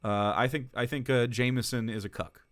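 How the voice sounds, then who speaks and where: A man's voice: mid-range voice, fairly even intonation, brisk, fairly bright tone, dry recording, clean studio sound. A man who streams political commentary, reacting to clips in a casual, sarcastic and profane way, laughing between remarks.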